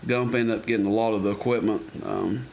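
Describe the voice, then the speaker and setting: A man's voice: on the low side, fairly even intonation, brisk, vocal fry, darkish tone. A man giving a casual, folksy product demo in a rambling, unscripted way, like a seller showing off equipment. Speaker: presenter demonstrating and selling CB radios. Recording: noisy amateur camera recording, clicks.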